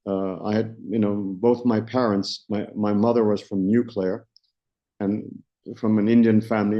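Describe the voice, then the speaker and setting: A South African man around sixty, a company founder answering interview questions in a measured way, often restarting as he searches for words. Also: fairly low pitch, warm tone. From Johannesburg, South Africa. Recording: dull lo-fi recording.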